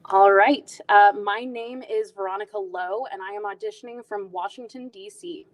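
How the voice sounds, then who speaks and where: A woman's voice: medium pitch, normal speed. A woman who teaches and is auditioning, chatting casually and politely on a live stream. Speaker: auditioning performer and acting instructor.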